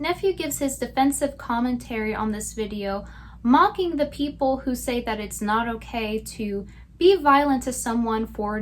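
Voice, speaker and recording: High-voiced woman, sarcastic commentary, noisy background. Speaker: video commentator. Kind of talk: scripted narration, commentary.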